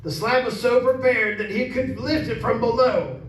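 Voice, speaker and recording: A man's voice: extremely high pitch, fairly even intonation, normal speed. A man playing a stubborn, proud, scornful master of a haunted house in an old-time radio horror play, arguing emphatically. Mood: accusing, insistent. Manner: emphatic, argumentative. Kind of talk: 1930s radio horror acting. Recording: echoey room, distant mic, faint noise.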